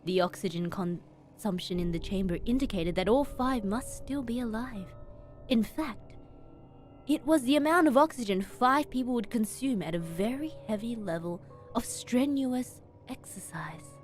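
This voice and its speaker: A woman streamer reading a horror story aloud in expressive, dramatic narration with emphatic pauses. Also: fairly high pitch. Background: background music.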